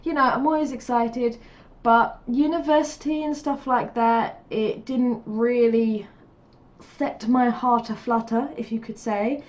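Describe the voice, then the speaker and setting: A woman's voice: a little high, fairly even intonation, a little slow. Stuffed-up woman, chatty and rambling. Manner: rambling and chatty, lots of fillers, laughs often. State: stuffed up with a cold, sniffling. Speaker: gaming YouTuber. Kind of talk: casual voice-over commentary on a building game.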